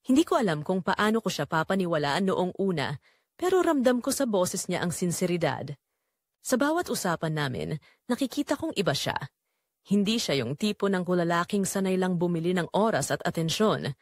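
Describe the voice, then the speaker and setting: A woman's voice: fairly low pitch, sing-song, normal speed, no echo, clean studio sound. A woman narrating a first-person dramatic story in an emotive, sentimental way.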